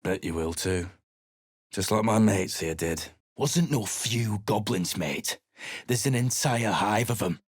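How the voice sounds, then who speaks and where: A husky, surly mercenary. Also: male voice, lowish voice, animated, unhurried, no room sound, studio quality. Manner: surly, contemptuous. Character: gruff rough-spoken male mercenary leader. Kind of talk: video game voice acting.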